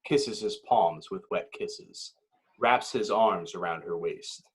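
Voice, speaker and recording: A man's voice: sits low, slow, husky. A man reading stage directions as narrator at a table read, in dramatic, clipped phrases.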